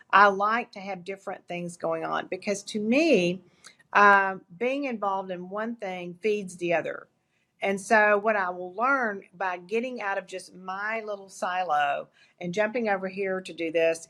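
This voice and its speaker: An older woman speaks in an East Texas drawl, her pitch in an ordinary middle range. She talks candidly and with energy, often starting a phrase over as she tells her stories in an interview. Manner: candid, animated storytelling with frequent restarts. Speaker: guest, agency founder. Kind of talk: interview conversation.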